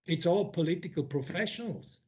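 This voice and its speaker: A high-pitched man who grew up in Switzerland delivers an academic conference talk. He thinks aloud with many restarts and drops blunt, informal asides. The recording sounds dull and muffled. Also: lively intonation, a little fast, a little mellow. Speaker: academic speaker.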